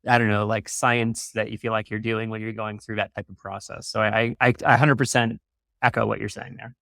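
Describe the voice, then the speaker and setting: A man's voice: fairly low pitch, little pitch movement, fairly quick, vocal fry, fairly bright tone, dry recording. A man hosting a casual interview in an informal, affirming conversational manner. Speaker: show host interviewing guests.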